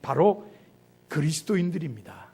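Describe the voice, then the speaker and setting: A middle-aged male pastor preaching a sermon, telling a personal story with lively, rising and falling emphasis. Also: on the high side, moderate pace, creaky, husky.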